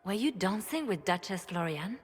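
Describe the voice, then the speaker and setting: A woman playing a cool, ruthless political adviser in a fantasy game, grave and deliberate. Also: medium pitch, lively intonation, breathy edge. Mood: cool, insistent. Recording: long hall reverb, music behind.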